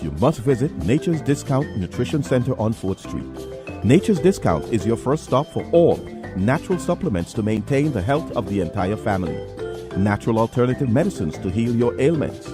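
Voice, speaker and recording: Male ad announcer over prominent music. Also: mid-range voice. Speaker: advertising announcer. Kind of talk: scripted commercial voice-over. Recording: voice-over over loud music bed. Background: background music.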